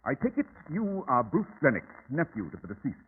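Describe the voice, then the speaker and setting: British detective, bossy and brisk, swingy highish voice, old radio play on a phone-like sound. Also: male voice, wildly swooping pitch. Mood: brisk, musing. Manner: crisp, imperious, questioning. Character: brisk, commanding, analytical English detective. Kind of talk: old-time radio drama acting. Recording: tinny old radio recording, faint noise.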